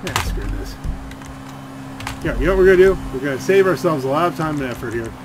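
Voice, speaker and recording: Animated, higher-pitched man over game noise. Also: quick pace. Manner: rambling, grumbling, thinking aloud. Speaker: game streamer and video creator. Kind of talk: casual gameplay commentary. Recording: clear game engine noise behind. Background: video game car and ambient sounds.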